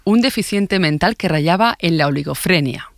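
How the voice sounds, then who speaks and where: A man narrating a scripted true-crime podcast in a measured, formal storytelling manner. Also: on the high side, animated, vocal fry, no room sound.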